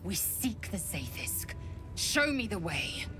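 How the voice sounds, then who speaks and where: A woman's voice: on the low side, big pitch swings, takes it slowly, noisy background. A woman voicing a proud, devout young alien warrior, clipped, formal and zealous in a fantasy game. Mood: commanding.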